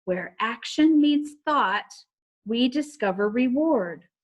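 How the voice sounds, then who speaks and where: A woman voicing a knowledgeable storybook girl who explains clues in a deliberate, emphatic way. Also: fairly high pitch, expressive, voice pushed up. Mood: earnest, explaining.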